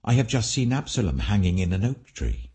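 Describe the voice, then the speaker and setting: A man's voice: on the low side, big pitch swings, a little fast, a little mellow, pitched above the performer's own voice. A man voicing a principled common soldier who stands his ground, earnest and emphatic, in a scripture audiobook. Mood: earnest, defiant.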